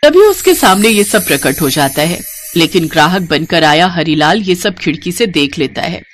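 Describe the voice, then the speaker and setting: A woman's voice: lowish voice, very animated, normal speed, a little hiss or hum. A woman narrating a scripted Hindi moral story as its storyteller.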